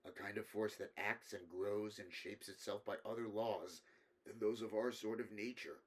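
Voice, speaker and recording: Guy doing a solemn scholar, really low and husky, even tone, careful words, horror audiobook. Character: grave learned man explaining an unearthly horror.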